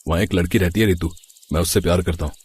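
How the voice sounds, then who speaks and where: A man's voice: low voice, fairly even intonation, racing, darkish tone, breathy edge. A man playing a mischievous young village brother-in-law in a storybook tale, teasing and pleading. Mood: pleading, earnest. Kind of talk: storybook acting.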